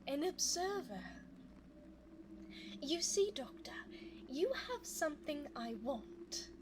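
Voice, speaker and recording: Woman acting a high-pitched, coy vampire seductress. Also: noisy background, heavily acted. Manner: formal, coy, teasing, drawn-out phrasing. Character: seductive, scheming immortal female vampire, falsely frail. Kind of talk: ASMR audio roleplay. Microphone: close binaural microphone.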